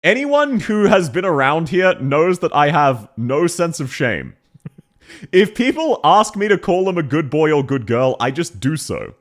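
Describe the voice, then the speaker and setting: A man's voice: fairly high pitch, very animated, measured pace. A young Australian man chatting casually on a live stream, playful and easily sidetracked.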